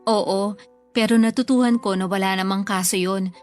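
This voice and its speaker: A woman's voice: mid-range voice, steady tone, normal speed, a little mellow, soft background music, natural voice. An actress playing a grateful, affectionate older sister and mother in a sentimental radio drama, speaking tenderly and earnestly. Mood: reflective.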